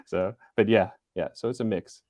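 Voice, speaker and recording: A man in his forties, a guest in an interview, speaking thoughtfully and hesitantly with frequent fillers and soft laughs. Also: fairly low pitch, moderate pace. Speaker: interview guest, business school center director. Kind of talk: casual interview talk.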